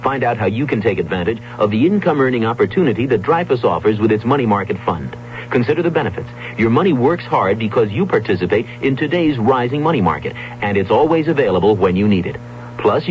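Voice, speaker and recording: A man reading a radio commercial in a brisk, persuasive announcer manner. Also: medium pitch. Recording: dull old radio recording with music.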